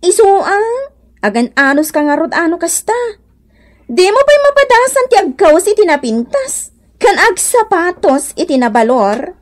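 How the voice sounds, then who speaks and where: A showy, well-off Ilocano woman who taunts her neighbour in a radio comedy drama. Her voice sits extremely high. She leaps around in pitch with exaggerated, mocking delivery. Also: very animated, moderate pace. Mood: taunting.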